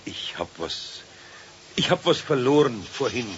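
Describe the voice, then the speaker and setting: A man's voice: medium pitch, sing-song, unhurried, a bit bright. An actor playing a good-natured, flustered older craftsman with a Bavarian accent, hesitant and muttering, in a children's radio play. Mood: awkward, evasive. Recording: dull 1970s radio recording, faint noise.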